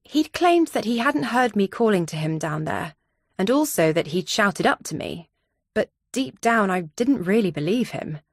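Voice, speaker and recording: A woman's voice: mid-range voice, very animated, normal speed, no echo, clean studio sound. A woman reading scripted first-person thriller narration as an audiobook narrator.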